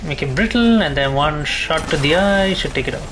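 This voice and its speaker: A man's voice: fairly high pitch, lively intonation, normal speed, background noise. A man giving casual gameplay commentary, thinking aloud to himself as he plays. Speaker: gaming video host. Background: game music.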